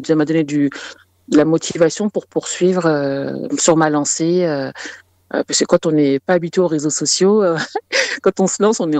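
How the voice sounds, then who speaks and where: A woman's voice: sits low, dark tone, no room sound. A woman singer-songwriter talking casually as an interview guest, with hesitant, conversational phrasing.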